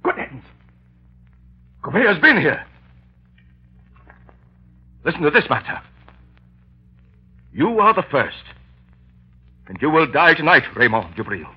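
An actor playing an anxious, excitable doctor with an urgent, exclamatory delivery in an old radio drama. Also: male voice, a little high, measured pace, long pauses, husky, heavily acted. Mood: shocked. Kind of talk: 1930s radio acting. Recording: muffled old radio recording.

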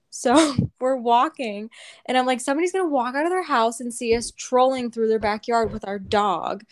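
Young woman telling a story, swearing freely. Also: mid-range voice. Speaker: podcast co-host. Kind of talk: casual storytelling.

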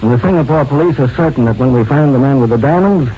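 An actor playing a calm, methodical police lieutenant in an old radio drama, measured and official, on a noisy recording. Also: male voice, medium pitch, highs cut off, natural voice. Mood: firm, insistent.

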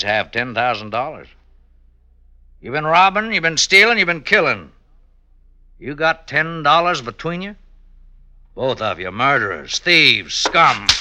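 An actor playing a bold, goading American sheriff in an old-time radio western, with a folksy, insistent way of talking. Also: male voice, mid-range voice, bright, forceful tone. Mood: scornful, goading. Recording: dull vintage radio recording, faint music.

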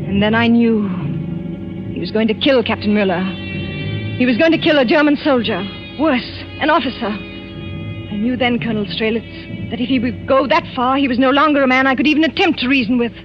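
An actress playing a frightened, tense wife in a 1940s radio suspense drama, speaking in a halting, dramatic way. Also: female voice, high-pitched, close to an everyday voice. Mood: terrified, horrified. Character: frightened, tense wife of a murderous doctor in wartime France. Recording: dull old 1940s radio recording with music.

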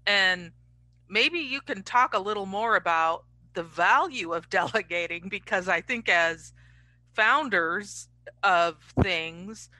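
A woman's voice: mid-range voice, takes it slowly, sharp tone, no room sound, rough recording. A woman hosting a podcast, talking in a conversational, reflective way and prompting her guest. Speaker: podcast host. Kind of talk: casual podcast interview.

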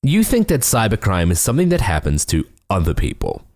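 A young man reads the sponsor spots for his podcast in a bright, salesy manner. His pitch moves widely as he leans into each selling point. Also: brisk. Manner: upbeat salesy delivery, lively pitch. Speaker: podcast host reading sponsor ads. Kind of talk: scripted ad read.

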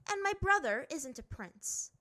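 A bossy, smug teenage girl of royal blood, played by a woman reading aloud, talks bluntly in a high voice. Her brisk, superior delivery swings widely in pitch. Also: sing-song, sharp tone, exaggerated voice. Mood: dismissive.

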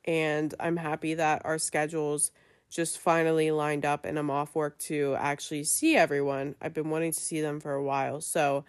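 A woman's voice: low voice, level tone, normal speed. Chatty young woman, nasal from a cold. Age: young adult. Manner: chatty, lots of fillers and likes. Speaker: podcast host. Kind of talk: casual chat.

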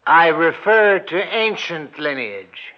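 A man's voice: a little high, animated, slow pace. A naive, earnest townsman. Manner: earnest, hesitant. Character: naive, earnest small-town man, easily led. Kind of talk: 1940s radio acting. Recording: muffled old radio broadcast.

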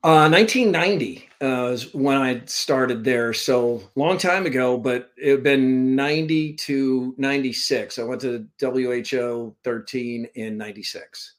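A man's voice: mid-range voice, measured pace, few pauses. A man in his fifties from Vermont, a sports broadcaster telling stories as a podcast guest, rambling and self-deprecating, speaking over a computer call. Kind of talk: casual storytelling interview. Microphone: remote call from a computer.

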